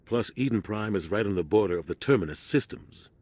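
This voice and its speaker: A serious male starship captain briefs his crew in a very deep, husky voice. The game-cutscene delivery is steady and earnest, recorded close and dry. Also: brisk, dry recording. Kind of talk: video game cutscene acting.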